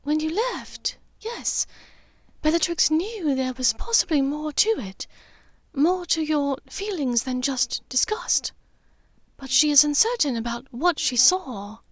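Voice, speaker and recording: A woman voicing a composed, cunning middle-aged aristocratic mother in an audiobook, speaking in a measured, hushed, deliberate way. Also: high-pitched, animated, pitched above the performer's own voice.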